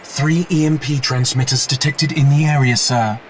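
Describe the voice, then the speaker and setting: A man's voice: mid-range voice, fairly even intonation, moderate pace, slight background noise, natural voice. An actor voicing a polite, unflappable male artificial intelligence assistant in a video game, formal and factual. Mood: calm reporting. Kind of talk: video game cutscene acting.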